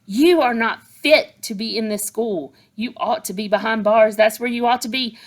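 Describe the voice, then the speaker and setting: A woman voicing a huge, cruel headmistress who barks scornful insults, with a gravelly edge. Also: medium pitch, moderate pace, natural voice. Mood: raging. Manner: barking, scornful tirades. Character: huge bullying female headmistress, cruel and bellowing.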